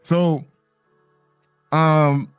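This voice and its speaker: A man's voice: fairly high pitch, little pitch movement, drawn-out words, clean tone, dry recording, faint music behind. A man hosting a casual live conversation, talking with emphatic swings when he stresses a point. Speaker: host.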